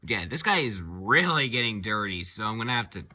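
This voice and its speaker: A man giving a casual, unscripted review of his hobby collection, talking haltingly and pausing mid-phrase. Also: lowish voice, sing-song, highs cut off, background noise. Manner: halting, rambling delivery with pauses mid-phrase.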